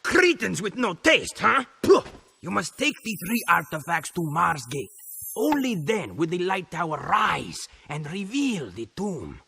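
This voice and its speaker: A man's voice: high-pitched, very animated, a little slow, vocal fry, pushed and bright, husky, full character voice. A man voicing a cranky, sassy talking monkey-bird interpreter in a video game cutscene, theatrical and full of squawking exclamations. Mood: indignant.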